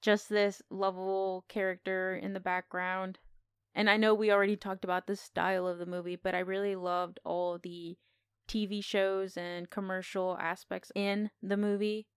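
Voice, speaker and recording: A woman's voice: mid-range voice, level tone, moderate pace, smooth, dry recording, studio quality. A woman co-hosting a podcast, talking casually as she gives her review of a film.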